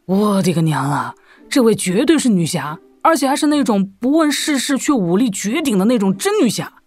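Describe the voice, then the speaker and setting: A woman's voice: mid-range voice, sing-song, normal speed, a little mellow, dry recording, soft background music. A woman voicing a brash, impish teenage apprentice swordsman in a wuxia audio drama. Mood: cheeky awe. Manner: impish, exclamatory.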